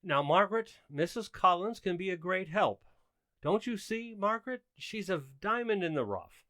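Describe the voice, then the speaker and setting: A man's voice: high-pitched, big pitch swings, moderate pace, pushed and bright, no echo, full character voice. A man playing a well-meaning, flustered suburban husband in a radio sitcom, hesitant, earnest and pleading. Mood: defensive, pleading. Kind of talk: old-time radio sitcom acting.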